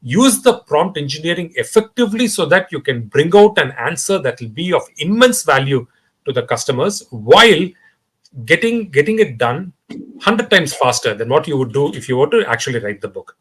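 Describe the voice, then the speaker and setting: A man with an Indian English accent talks as a guest in a podcast interview. His voice is lively, climbing and dropping through each phrase, and it sits a little above the usual male register. He speaks in an animated way, full of fillers and restarts. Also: a little high, normal speed, husky. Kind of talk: conversational interview.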